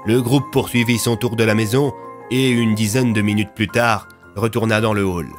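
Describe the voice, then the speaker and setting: A man's voice: fairly low pitch, steady tone, normal speed, faint music behind. A man narrating a scripted audio drama as a storyteller.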